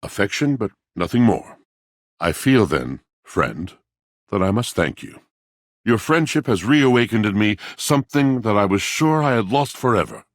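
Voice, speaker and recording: An actor playing a grandiloquent, melancholy washing machine in a video game, with florid, courtly, old-fashioned diction and a gravelly voice. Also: male voice, big pitch swings, moderate pace, no echo, clean studio sound. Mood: wistful, grateful. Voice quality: gravelly, deep. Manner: theatrical, florid, formal old-fashioned diction, savoring words. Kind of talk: theatrical video game character acting.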